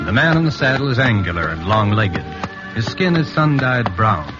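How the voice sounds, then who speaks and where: Male announcer for vintage radio drama: animated, sweeping delivery of scripted narration, dull old recording. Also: fairly low pitch, normal speed. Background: music.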